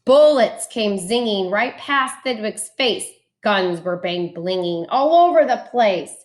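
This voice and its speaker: A woman reads a rhyming picture book to children at a slow pace. Her delivery is expressive and dramatic, with each line placed deliberately in a mid-pitched voice. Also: reverberant. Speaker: teacher reading a picture book aloud. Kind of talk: expressive children's storybook read-aloud.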